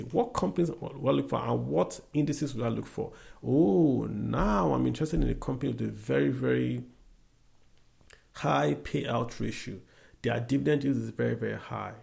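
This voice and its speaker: A man's voice: mid-range voice, creaky, a little mellow. A man hosting a podcast, answering a listener's question and repeating key points with frequent "you see?". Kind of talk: casual explanatory talk on investing.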